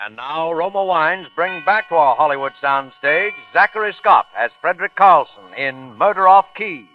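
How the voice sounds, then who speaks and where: A man's voice: fairly high pitch, normal speed. An American man announcing a radio program in a formal, portentous, dramatic 1940s style. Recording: dull old radio recording, faint music.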